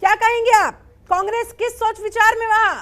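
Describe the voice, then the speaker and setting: A woman's voice: very high-pitched, steady tone, brisk, fairly bright tone. A woman anchoring a TV news debate, cutting in and pressing her guests with direct questions.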